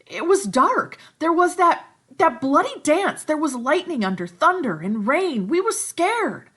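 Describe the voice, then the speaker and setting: Woman putting on a shrill, anxious schoolboy. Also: a little slow, heavily acted. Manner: shrill, pleading, excited.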